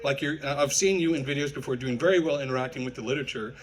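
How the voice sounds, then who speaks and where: A man's voice: mid-range voice, very fast, low-quality recording. A man speaking as a college history instructor, putting a wordy, earnest question in a live debate.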